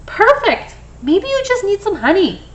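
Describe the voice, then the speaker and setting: A woman's voice: very high-pitched, big pitch swings, unhurried, dull audio, pitched above the performer's own voice, exaggerated voice. A woman voicing an eager, coaxing bee-loving best buddy from a picture book. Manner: enthusiastic, coaxing.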